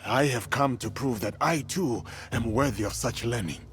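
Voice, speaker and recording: An actor playing an earnest, respectful warrior in samurai training in a video game, speaking in a formal, composed way. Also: male voice, mid-range voice, moderate pace, lightly acted. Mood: grave, determined. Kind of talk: dramatic video game acting.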